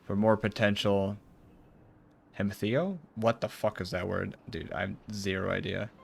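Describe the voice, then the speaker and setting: A man with a fairly low voice plays a game on stream. He reads formal story dialogue aloud, stumbling now and then, and comments in a casual way. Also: little pitch movement, normal speed, creaky, a little hiss or hum.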